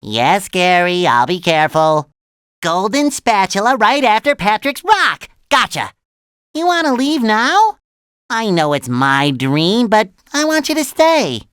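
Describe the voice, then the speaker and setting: A man voicing an excitable, naive, cheerful young cartoon sea sponge in a video game, with a squeaky nasal voice and eager, childlike earnestness. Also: unhurried, clear, resonant tone, dry recording, clean studio sound, heavily acted. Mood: chatty, eager. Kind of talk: animated video game voice lines.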